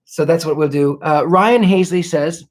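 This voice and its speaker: A man hosting a casual livestream, answering viewer questions in a courtly, gracious way, thanking people warmly and pausing to restart his sentences as he searches for words. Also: on the high side. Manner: reflective, many restarts while searching for words. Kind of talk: casual live Q&A chat.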